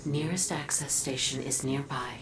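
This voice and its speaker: An actress playing a calm, cryptic female alien guide in a video game, speaking in a measured, formal, breathy way. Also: a bass voice, background noise. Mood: calm, instructive. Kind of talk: video game cutscene acting. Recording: distant voice under loud music.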